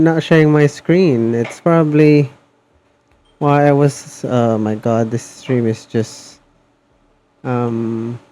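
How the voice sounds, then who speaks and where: A man chatting casually on a game livestream in a hesitant, halting manner. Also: mid-range voice, dark tone. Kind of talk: casual stream chat.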